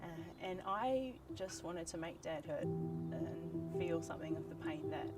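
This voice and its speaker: A woman's voice: lowish voice, animated, unhurried. A young woman telling a personal story in earnest, reflective scripted narration. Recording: distant voice-over under loud music.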